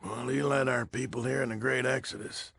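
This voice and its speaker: A crusty old commander of a military order for a video game. His voice is heavily gravelled and husky. He talks bluntly and folksily, with little patience. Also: medium pitch, steady tone, a little fast, fairly bright tone, no echo, studio quality, full character voice. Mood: gruff, matter-of-fact. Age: older. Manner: blunt, folksy, swearing, easily exasperated. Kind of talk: video game character dialogue.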